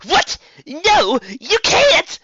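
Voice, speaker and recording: A man's voice: extremely high pitch, wildly swooping pitch, no room sound, lo-fi sound, voice pushed up, exaggerated voice. A man voicing an evil, arrogant unicorn enchantress from a fantasy story, theatrical and grandiose in manner. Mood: panicked. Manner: grandiose, shouting, drawn-out menacing delivery.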